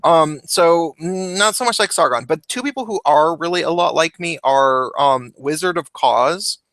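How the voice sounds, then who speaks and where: Man, bright voice, slightly high, hesitant, dry close sound. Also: normal speed, no room sound. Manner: hesitant, restarting phrases. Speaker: YouTuber and host. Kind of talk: casual group video call chat.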